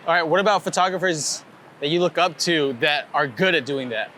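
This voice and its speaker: Male interviewer, slightly higher pitch, conversational, outdoor street with light traffic noise. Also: fairly quick, sharp tone. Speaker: host interviewer.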